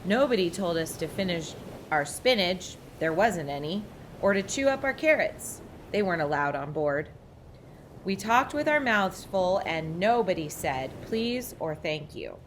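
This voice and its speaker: A woman narrates a children's storybook in an expressive read-aloud style. Her pitch moves in lively swings and her pace is unhurried, over some background noise. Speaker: storybook reader.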